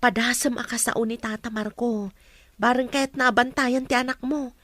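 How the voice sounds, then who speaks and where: A woman's voice: a little high, steady tone, moderate pace, a bit bright, no room sound, lightly acted. A woman playing a concerned friend who gives advice in an Ilocano radio drama.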